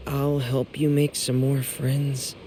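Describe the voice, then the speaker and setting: A woman's voice: very deep voice, flat delivery, slow pace, warm tone, slight background noise. A woman voicing a shy, gloomy teenage boy in an anime game, hesitant and reluctant. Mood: resigned. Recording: music behind the voice.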